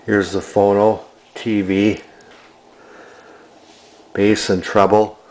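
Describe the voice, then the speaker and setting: Guy w a lowish voice doing a casual show and tell, really unhurried, kinda halting. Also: level tone, a little mellow. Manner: hesitant, musing, halting delivery. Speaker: vintage electronics collector presenting a find. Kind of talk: casual unscripted walk-through commentary.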